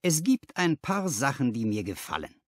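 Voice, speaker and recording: A man voicing a cool, shrewd male detective in an audiobook, pleased and pensive, with a measured, savoring delivery. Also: medium pitch, very animated, no echo, voice pushed up.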